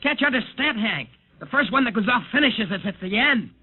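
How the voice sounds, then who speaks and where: High-pitched, frantic young rocket pilot. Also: male voice, animated, brisk, husky, full character voice. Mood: frantic pleading. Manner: urgent, pleading, insistent. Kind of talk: 1950s radio drama acting. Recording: muffled, scratchy vintage radio recording.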